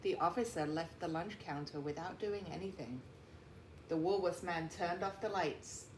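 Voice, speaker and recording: A woman reading a picture book aloud like a teacher, with deliberate, emphatic phrasing and explanatory asides, in a roomy recording. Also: fairly low pitch, a little mellow. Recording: roomy echo, faint background noise.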